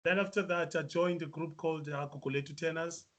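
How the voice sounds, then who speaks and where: A South African musician answering interview questions, hesitant with many fillers. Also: male voice, on the high side, fairly even intonation, fairly quick, a bit bright. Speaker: musician guest.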